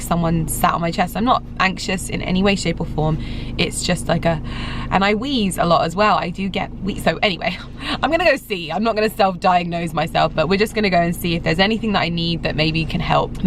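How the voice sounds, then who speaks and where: A young English woman vlogger in a casual, rambling update, her voice slightly croaky after being ill. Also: fairly low pitch, background noise. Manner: chatty, rambling, frequent restarts and fillers. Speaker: lifestyle vlogger. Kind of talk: casual vlog update to camera.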